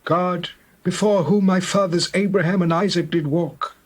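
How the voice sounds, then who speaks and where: A frail old Hebrew father near death, played by a man in an audio scripture drama. His voice is raspy and sits fairly high. He speaks gravely and deliberately, with solemn tenderness. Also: fairly even intonation. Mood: tender blessing. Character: aged, dying Hebrew patriarch, solemn and tender.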